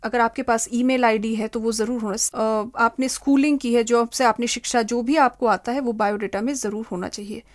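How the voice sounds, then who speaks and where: A woman's voice: a little high, steady tone, a little fast, hardly any pauses. A woman giving methodical, step-by-step advice as an interviewed expert. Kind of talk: interview answers, explanatory advice.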